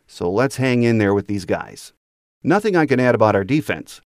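A man's voice: medium pitch, expressive, moderate pace, no echo, studio quality. A middle-aged or older American man delivering scripted sports commentary as a podcast host.

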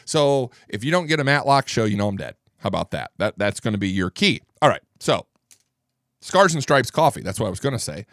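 A man's voice: medium pitch, sing-song, fairly quick, fairly bright tone, dry recording. A middle-aged man hosting a political talk show in a studio, rambling and stammering through restarts, feeling under the weather. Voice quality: strong voice, gravelly when tired. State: under the weather, low energy. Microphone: studio microphone.

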